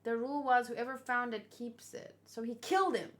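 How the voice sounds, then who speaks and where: A woman's voice: on the high side, steady tone, normal speed, fairly bright tone. A woman chatting casually and unscripted, thinking aloud and asking questions as she hosts a reaction video. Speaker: manga reaction host.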